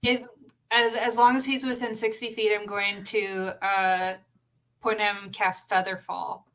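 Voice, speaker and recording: A woman's voice: medium pitch, level tone, a little slow, sharp tone, rough recording. A woman talking casually as a player in a tabletop game, asking questions and stating her moves.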